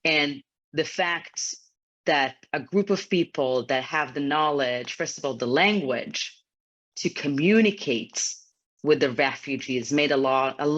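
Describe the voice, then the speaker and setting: A woman's voice: low-pitched, fairly bright tone. A woman in her thirties from Ukraine, a native Ukrainian speaker, giving an earnest personal account as an interview guest over a video call. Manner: earnest, slightly non-native phrasing. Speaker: interview guest, federation executive. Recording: dull lo-fi video call audio.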